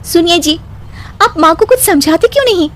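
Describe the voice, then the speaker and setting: A woman's voice: very high voice, animated, moderate pace. A woman voicing a feisty, exasperated young daughter-in-law with a pointed way of talking in a cartoon comedy story. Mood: complaining. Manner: pointed, complaining.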